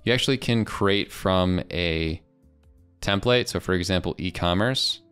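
A man in his twenties with an American accent, presenting a casual software demo into a close desk microphone in a small home studio. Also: low voice, measured pace, clear, resonant tone, soft background music. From United States. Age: 20s. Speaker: presenter of software review videos. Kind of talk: casual product demo narration.

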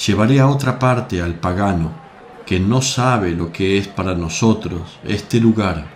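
An actor playing Jesus, speaking with calm and measured authority in a radio drama. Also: male voice, low voice, reverberant, slight background noise. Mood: serene. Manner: slow, serene, measured.

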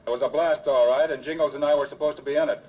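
An actor playing a steady, commanding American lawman hero in an old-time radio western. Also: fairly high pitch, racing, breathy edge. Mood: stern. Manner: firm, direct. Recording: noisy old radio recording.

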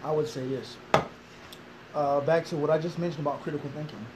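Fast emphatic man with a high voice. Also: fairly even intonation, very noisy. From Philadelphia. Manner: emphatic, rhetorical questions, gestures a lot. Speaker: interviewee, Islamic teacher. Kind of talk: animated interview answer. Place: small classroom indoors.

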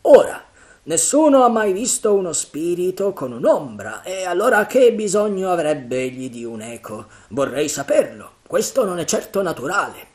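A man voicing a bold, cunning male pirate leader, booming and raised high in an audiobook reading. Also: very animated, normal speed, a little mellow. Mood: scornful.

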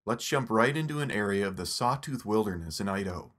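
A man narrating a scripted phone app tutorial in a steady, instructive step-by-step voice. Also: fairly low pitch, fast talker.

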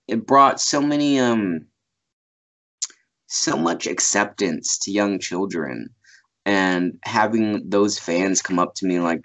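A man's voice: low-pitched, a bit bright. A man in his thirties, a voice actor guest, chatting casually over a video call from a small room at home, rambling with fillers and laughs. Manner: rambling, laughs often, many fillers and restarts. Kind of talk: casual interview chat. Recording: muffled video call audio.

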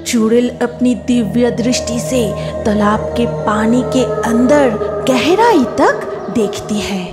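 A woman's voice: a little high, lively intonation, slow. A woman narrating a scripted children's cartoon story, with music behind her.

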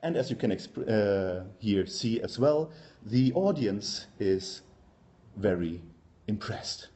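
A man in his forties with a German accent, giving an academic conference talk in a hesitant, halting manner with frequent pauses. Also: on the low side, animated, mellow. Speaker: university professor, conference speaker. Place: lecture room, indoors.

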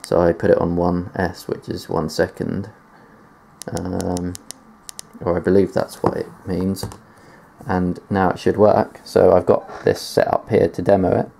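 A man's voice: low voice, little pitch movement, measured pace, gravelly, warm tone, a little hiss or hum. A man giving a casual tech demonstration in a matter-of-fact, explanatory way, presenting gear on video indoors. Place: indoors at a desk.

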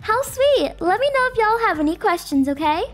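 A woman's voice: very high-pitched, sing-song, a little fast. A woman voicing a friendly, welcoming female pumpkin farmer in a cartoon roleplay.

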